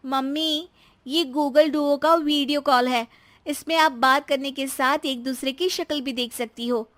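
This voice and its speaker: Woman playing a high-voiced exasperated young wife. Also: steady tone, a little slow, fairly bright tone. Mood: annoyed. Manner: plaintive, emphatic complaining. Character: exasperated young daughter-in-law, fed up, complaining. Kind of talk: animated moral story dialogue.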